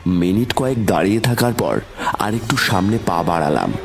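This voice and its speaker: A man's voice: lowish voice, animated, normal speed. A man giving a dramatic, suspenseful first-person narration of a scripted horror story.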